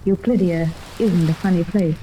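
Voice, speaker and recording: A gentle, genteel mother played by an actress in an old radio serial. Her middle-aged voice is mellow and protective, heard through faint hiss. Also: lowish voice, normal speed, lightly acted, low-quality recording. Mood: tender. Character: gentle, protective, well-bred wealthy mother. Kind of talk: 1930s radio serial acting. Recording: faintly hissy 1930s radio recording.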